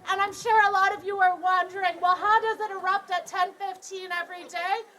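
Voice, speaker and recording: A young Canadian woman guiding a tour outdoors, projecting her voice loudly to a crowd and joking as she explains. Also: extremely high pitch, steady tone, fairly quick, slight background noise. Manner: loud projected voice without a speaker, joking. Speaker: tour guide. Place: outdoors beside a geyser.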